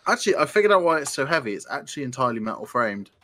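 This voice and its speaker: A man's voice: mid-range voice, sing-song, rapid-fire, pushed and bright. A young British man co-hosting a podcast, explaining gadgets in a casual, keen way. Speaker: podcast co-host. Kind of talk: casual tech chat.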